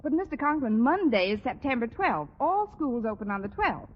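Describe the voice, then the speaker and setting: An actress playing a wisecracking American schoolteacher with dry, arch delivery in a 1940s radio sitcom. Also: female voice, on the high side, big pitch swings, a little fast, in a large hall, highs cut off. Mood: protesting. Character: wry, wisecracking adult woman high school teacher. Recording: noisy band-limited 1940s radio recording.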